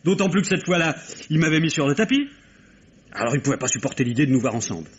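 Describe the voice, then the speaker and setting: An actor playing a distraught, bitter young French lover under suspicion in a 1950s-style radio drama, with hesitant, broken phrasing. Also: male voice, a little high, sing-song, fast, slightly rough. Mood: resentful. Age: young adult. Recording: dull, noisy vintage radio recording.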